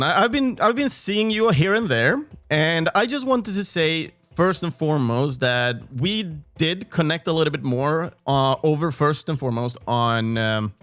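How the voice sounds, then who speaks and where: Lively podcast host with a bright pitch. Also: male voice. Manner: friendly, laughs often, restarts sentences. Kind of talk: casual interview chat. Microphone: remote call.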